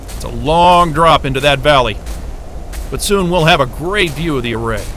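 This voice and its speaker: A man's voice: on the high side, animated, normal speed, a bit bright, slight background noise. A man playing an irritable, foul-mouthed astrophysicist at a polar station in a naturalistic audio drama, with a blunt, sarcastic, agitated manner. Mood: awed, relaxed.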